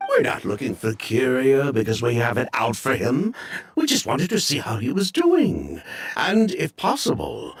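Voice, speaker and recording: An actor playing an evasive ex-convict perfume dealer in a video game, talkative and self-justifying with a husky, theatrical delivery. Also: male voice, a little high, fairly bright tone, full character voice. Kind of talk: dramatic video game dialogue.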